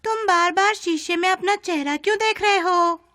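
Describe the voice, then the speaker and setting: A woman voicing a playful young child who teases a friend in an animated kids' lesson. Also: very high voice, moderate pace, no room sound, studio quality. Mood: teasing, curious.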